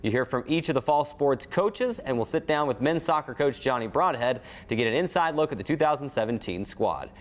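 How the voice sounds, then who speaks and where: A man's voice: medium pitch, lively intonation, fairly quick, few pauses. An American man hosting a sports show, reading scripted previews with an announcer-like delivery. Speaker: sports show host and team broadcaster.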